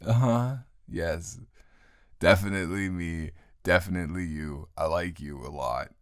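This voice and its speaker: A man playing a grumpy, tired young male roommate who is secretly smitten, in a halting, exasperated, intimate improvised audio roleplay. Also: dry recording. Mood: flustered, affectionate. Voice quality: very deep, gravelly, close and dry.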